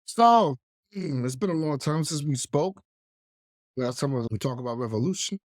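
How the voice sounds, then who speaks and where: A man's voice: on the high side, lively intonation, normal speed, dry recording, clean studio sound. Congested, nasal man ranting. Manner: opinionated, emphatic, clears throat. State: congested, under the weather. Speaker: wrestling podcast host. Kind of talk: solo opinion rant.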